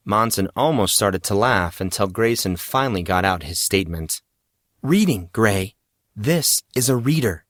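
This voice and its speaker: A man's voice: lowish voice, a bit bright, no room sound, clean studio sound. A man reading fantasy fiction as a dramatic audiobook narrator.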